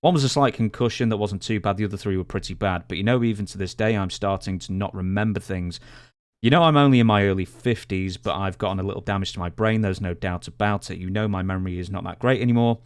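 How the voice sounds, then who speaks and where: Smooth, lowish-voiced man co-hosting. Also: quick pace, dry recording, studio quality. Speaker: podcast co-host. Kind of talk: conversational podcast hosting.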